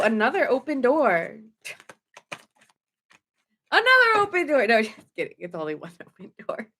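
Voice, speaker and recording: A woman's voice: fairly high pitch, bright, forceful tone. A woman doing a casual live tarot reading, chatty and rambling with fillers and self-corrections, her nose stuffy. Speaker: tarot reader.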